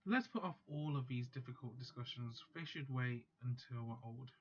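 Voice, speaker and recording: A man casually reading a visual novel's text aloud, steady but stumbling and correcting himself now and then. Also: medium pitch, normal speed, lo-fi sound, slight background noise. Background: faint background music.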